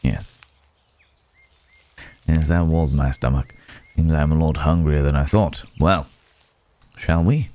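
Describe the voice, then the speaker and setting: Man voicing a very deep, mellow courteous werewolf gentleman. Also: slow, long pauses. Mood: grateful, gentle. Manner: hesitant, formal, polite phrasing with soft tag questions. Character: courteous, guarded werewolf man, a grateful city-born stranger. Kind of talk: intimate ASMR roleplay acting.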